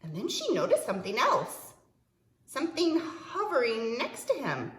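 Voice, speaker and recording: A woman preschool teacher reading a picture book aloud to young children, expressively, with dramatic pauses and stretched-out words. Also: on the high side, huge pitch swings, roomy sound, slight background noise.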